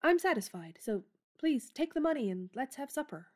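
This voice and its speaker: A woman's voice: high-pitched, big pitch swings, moderate pace, darkish tone. A woman playing a blunt, spirited young woman with a headstrong temper. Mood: defiant.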